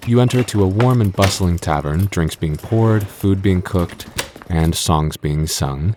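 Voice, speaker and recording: Male actor as young game master, deep voice, exasperated tsundere friend, hesitant delivery, intimate audio roleplay. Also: measured pace, noisy background. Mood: measured narration. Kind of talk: ASMR audio roleplay acting.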